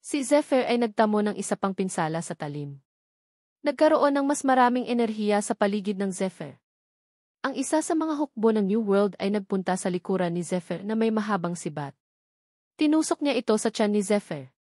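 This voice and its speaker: A woman reading scripted audiobook narration of an action novel in measured, formal sentences. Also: mid-range voice, lively intonation, darkish tone, no echo, studio quality.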